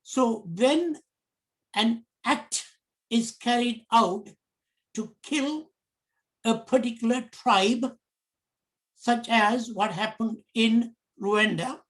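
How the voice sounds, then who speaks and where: A middle-aged man from Sri Lanka with a Sri Lankan accent, giving a prepared public talk in slow, deliberate phrases. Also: very high-pitched, fairly bright tone, poor audio. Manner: slow, deliberate, measured phrasing in short pieces. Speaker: commentator.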